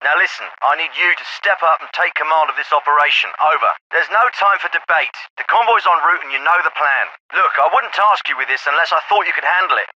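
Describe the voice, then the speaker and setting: A man's voice: on the high side, fairly quick, few pauses, sharp tone, no room sound, lo-fi sound. A man with a British accent voicing a terse, calculating special forces officer in a video game, clipped and authoritative, through a radio filter. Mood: firm, instructive. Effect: radio filter on many lines. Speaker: squad commander giving orders.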